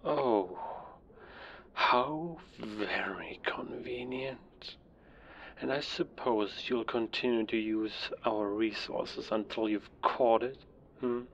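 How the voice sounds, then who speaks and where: An actor playing a stern, suspicious intelligence handler in an audio drama, sardonic and demanding, heard through a telephone. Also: male voice, medium pitch, takes it slowly, gravelly, a bit bright. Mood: sneering, suspicious. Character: stern, sneering, suspicious German spy agency handler. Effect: telephone filter. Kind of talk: cinematic audio roleplay acting. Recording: dull, noisy phone line.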